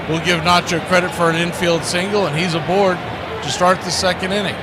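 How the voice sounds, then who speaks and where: A man with a fairly high voice calls a live baseball game as a radio play-by-play announcer. He describes each pitch and play over very loud background noise. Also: fairly even intonation, brisk.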